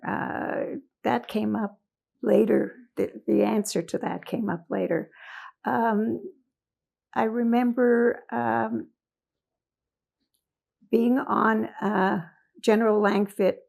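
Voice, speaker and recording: Gravelly, slow-speaking woman in her eighties. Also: medium pitch, steady tone, a little mellow. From Hungary. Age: 80s. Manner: slow and halting, many pauses and fillers. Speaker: interviewee giving testimony. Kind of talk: recorded oral history interview. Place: indoors in Toronto.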